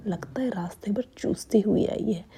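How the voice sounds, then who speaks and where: A woman's voice: medium pitch, normal speed, warm tone, breathy edge. A woman voicing a crude, teasing young female friend in a whisper.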